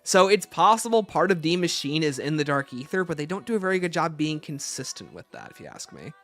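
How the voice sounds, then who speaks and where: Male streamer, higher-pitched and lively, casual Q&A delivery, faint music underneath. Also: brisk. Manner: informal, thinks aloud. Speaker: livestream host. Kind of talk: casual livestream Q&A, reading out and answering chat questions.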